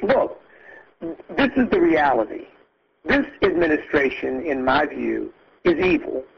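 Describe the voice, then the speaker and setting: Male political speaker, very gravelly and raspy, earnest and emphatic, halting delivery, muffled conference-line sound. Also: on the high side, very animated, slight background noise. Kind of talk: impassioned political speech on a conference call. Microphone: phone conference line. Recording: muffled lo-fi conference call audio.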